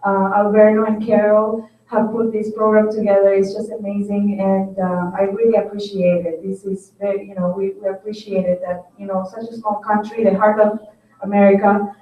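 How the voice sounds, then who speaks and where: A woman's voice: mid-range voice, flat delivery, moderate pace. Woman in an echoey hall. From Paraguay. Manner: earnest, with many fillers. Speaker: economics teacher. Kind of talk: personal introduction at a forum. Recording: echoey hall, distant and dull.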